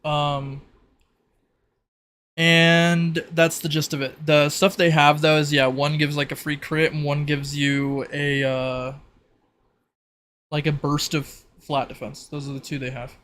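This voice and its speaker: A man's voice: fairly high pitch, flat delivery, measured pace, a bit bright, a little hiss or hum. A man acting as game master, casually explaining the rules of a tabletop roleplaying game.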